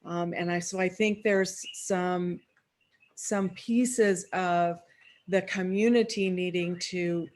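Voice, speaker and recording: A woman speaking reflectively as a panelist, measured and thoughtful, pausing in the middle of phrases. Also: fairly low pitch.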